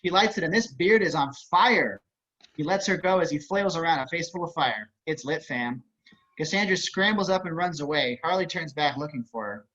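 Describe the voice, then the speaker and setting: A man's voice: fairly high pitch, lively intonation, moderate pace, bright, forceful tone. A man narrating stage directions at an online table read, with wry, casual asides. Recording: dull video-call audio.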